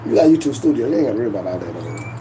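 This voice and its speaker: A man's voice: mid-range voice, big pitch swings, very fast, noisy background. A man, hosting a casual livestream in a boastful, profane, laughing way. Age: 40s. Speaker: YouTube livestream host.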